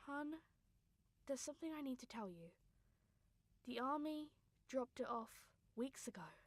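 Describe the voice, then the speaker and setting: A woman puts on a busy working mother in a radio drama, gentle and affectionate but guarded. Her voice is fairly high, in a close, dry recording. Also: animated, measured pace, no echo. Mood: hushed, reluctant.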